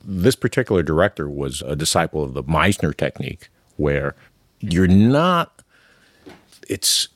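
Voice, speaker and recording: A middle-aged man from New York City with no regional accent, calmly telling stories as an interview guest. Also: extremely low pitch, a little slow, no echo. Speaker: interview guest, amateur actor. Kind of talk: conversational interview.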